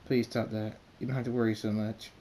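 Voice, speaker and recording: Guy voicing a soft-spoken pegasus demon dude, mellow and calm, super polite. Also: lowish voice, fairly quick, a little hiss or hum, close to an everyday voice.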